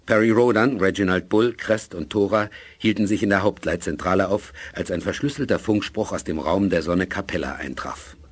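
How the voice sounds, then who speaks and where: A man's voice: low voice, normal speed, hardly any pauses, husky. A man with diction giving dramatic scripted narration for a radio play.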